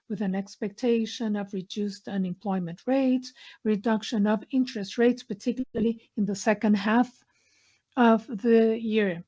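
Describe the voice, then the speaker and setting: A woman interpreting a corporate earnings call in measured phrases, pausing mid-sentence. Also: a little high, mellow. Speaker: interpreter voicing conference call speakers. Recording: dull, lo-fi conference line audio.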